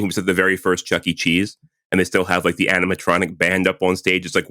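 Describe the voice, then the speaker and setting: A man's voice: low voice, fairly bright tone, no room sound, clean studio sound. A man in his forties co-hosting a podcast, chatting casually and laughing often, with lots of "like" fillers. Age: 40s. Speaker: podcast co-host. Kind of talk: casual chat.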